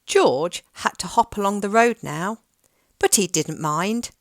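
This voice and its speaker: A woman reading a children's picture book aloud in a gentle, expressive storytelling manner. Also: mid-range voice, measured pace, dry recording, studio quality.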